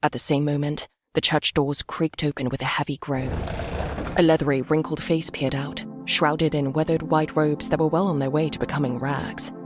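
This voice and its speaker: A woman's voice: low voice, little pitch movement, normal speed. A woman reading a dramatic story as an audiobook narrator. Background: background music.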